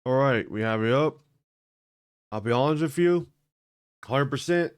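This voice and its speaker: A man hosting a tech video, chatting casually and thinking aloud as he tests a game, often halting and correcting himself. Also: medium pitch, expressive, clear, resonant tone. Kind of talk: casual commentary while testing a game.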